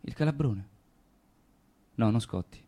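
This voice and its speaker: A man's voice: medium pitch, animated, dark tone. An actor playing a brooding, pensive man talking with his close friend in a radio play, reflective and lyrical. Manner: reflective, lyrical, measured storytelling. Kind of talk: theatrical radio play acting.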